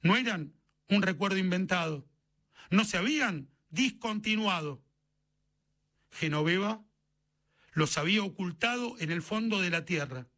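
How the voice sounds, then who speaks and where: Bright, high-voiced Argentine male narrator. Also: lively intonation, measured pace, long pauses. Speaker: narrator reading a short story. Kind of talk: scripted literary narration.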